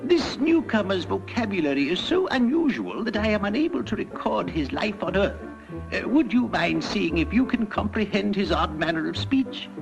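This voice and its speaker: An actor playing a fussy heavenly clerk in a cartoon, speaking with formal, puzzled politeness and some hesitation. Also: male voice, high-pitched, big pitch swings, moderate pace, gravelly, highs cut off, over music, full character voice. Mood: polite, puzzled. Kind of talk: classic cartoon character acting.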